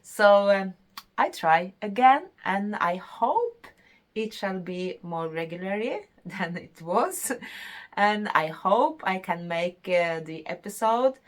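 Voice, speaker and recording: Slow, hesitant Norwegian woman in her fifties. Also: medium pitch, lively intonation. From Norway. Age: 50s. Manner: hesitant, many fillers, laughs between phrases. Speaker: podcast host. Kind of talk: casual chat.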